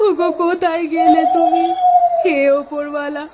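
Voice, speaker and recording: A woman's voice: extremely high pitch, unhurried. A woman voicing a scolding, melodramatic village wife in a cartoon, exclaiming emphatically, in a dull lo-fi recording. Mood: wailing, pleading. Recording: dull lo-fi audio with faint noise.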